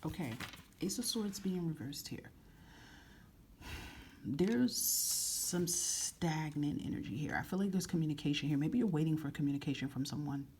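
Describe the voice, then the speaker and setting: A soft-spoken woman reading tarot cards to camera in a casual, frank way, often repeating phrases. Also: low-pitched, lively intonation, moderate pace, a little hiss or hum. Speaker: tarot reader.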